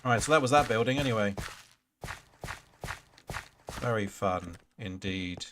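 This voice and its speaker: Hoarse man mumbling through gameplay. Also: on the low side, lively intonation, a little slow, noisy background. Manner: mutters to himself and chats to game monsters. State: hoarse, clearing his throat. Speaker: gaming livestream host. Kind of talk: casual live gameplay commentary.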